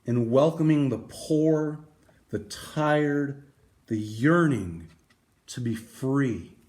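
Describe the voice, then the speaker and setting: A man reading a picture book aloud, with dramatic emphasis and deliberate pauses. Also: mid-range voice, a little mellow. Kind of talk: expressive storybook read-aloud.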